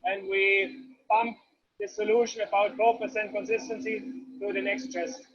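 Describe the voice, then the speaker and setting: A man's voice: sits high, flat delivery, normal speed, highs cut off, crowd noise. A man with a Swiss accent guiding a tour of a paper mill, explaining each step plainly and with non-native phrasing. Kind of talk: guided factory tour.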